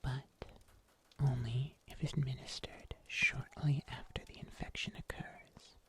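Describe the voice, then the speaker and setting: A performer playing a calm huntsman healer in an ASMR fantasy roleplay, whispering in an unhurried, hushed way. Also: mid-range voice, close to an everyday voice. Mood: calm, focused. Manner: unhurried, hushed, gently chiding, murmuring to self.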